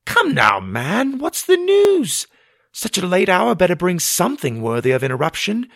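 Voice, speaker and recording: A man's voice: high voice, huge pitch swings, normal speed, vocal fry, fairly bright tone, dry recording, pitched above the performer's own voice, exaggerated voice. A man voicing a spirited, sarcastic fairy mother who talks in a bossy, scornful way. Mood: impatient.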